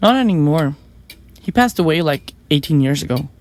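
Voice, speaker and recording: A woman playing a flippant, self-important young murderer in an audio drama, glib and quick to get defensive. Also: deep voice, animated, darkish tone. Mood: offhand. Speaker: murderous podcaster in a therapy session. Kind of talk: audio drama acting, conversational.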